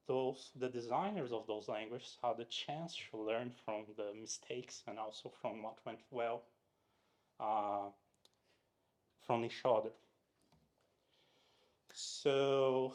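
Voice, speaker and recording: A man from Brazil giving a casual technical talk to a live audience, chatty and full of fillers and restarts, often asking the crowd questions. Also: medium pitch. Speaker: conference speaker. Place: meetup room in front of an audience.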